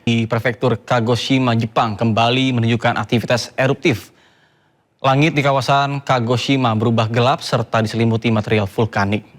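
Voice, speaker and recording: Male anchor, mid-pitched, formal scripted bulletin read, music underneath. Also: flat delivery. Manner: formal, measured announcer delivery. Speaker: news anchor. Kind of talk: scripted news narration.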